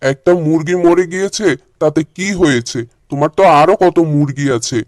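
A long-suffering village husband from a cartoon, acted by a man, practical and plain in how he talks. His voice sits fairly high for a man. The recording is dry and free of room sound. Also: brisk, dry recording, close to an everyday voice. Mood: weary exasperation. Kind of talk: cartoon dialogue acting.

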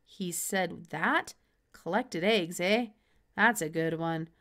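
A woman's voice: lowish voice, takes it slowly, fairly bright tone. A woman voicing a sleepy, skeptical stranger answering the telephone in a storybook, with a curt manner.

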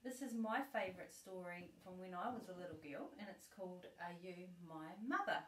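A middle-aged woman reading a children's story aloud expressively, with deliberate emphasis for a young listener. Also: lowish voice, a bit bright. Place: living room sofa, indoors. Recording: echoey living room.

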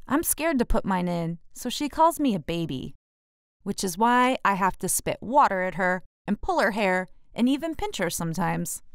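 A woman's voice: medium pitch, big pitch swings, moderate pace, clear, resonant tone, no room sound, studio quality. A woman voicing a jealous, defiant six-year-old boy in a children's storybook. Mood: sulky, defensive.

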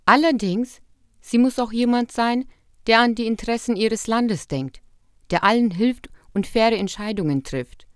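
Middle-aged fairy-tale queen played by a woman, kindly and wise, smooth dry voice, gentle counsel. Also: fairly high pitch, measured pace, no room sound, close to an everyday voice. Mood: advising. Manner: gentle, advising. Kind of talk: cartoon fairy tale voice acting.

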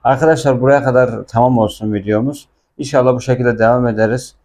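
A man's voice: medium pitch, fairly even intonation, quick pace, a little mellow. A man giving a casual language lesson, explaining film dialogue and repeating words with their translations. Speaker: Arabic teacher.

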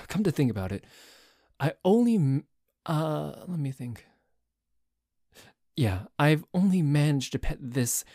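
A man playing a dorky, jealous tsundere boyfriend in an ASMR roleplay, bickering haltingly with a cocky streak. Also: medium pitch, dark tone, no room sound, clean studio sound, exaggerated voice. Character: dorky, jealous, grumbling tsundere boyfriend who dislikes a cat.

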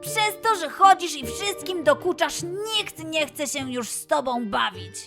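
A woman voicing a kind, earnest, excitable young lion boy in a children's audio fairy tale. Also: high-pitched, measured pace, creaky, over music, pitched above the performer's own voice. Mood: frank, reproachful. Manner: explaining patiently, exclaiming eagerly.

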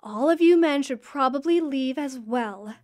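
Woman playing a high-voiced, protective goddess. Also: lively intonation, unhurried, a little mellow. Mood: firm, protective. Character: protective flowery goddess queen of the underworld. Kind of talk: audio drama acting.

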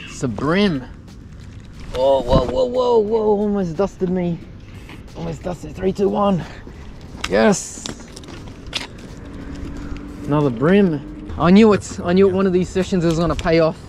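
A young man commentating his own fishing live from an outdoor wharf at night, chatty and loudly excited when fish bite. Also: high-pitched, a little slow, creaky, long pauses. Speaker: fishing vlogger. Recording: outdoor night recording with background noise.

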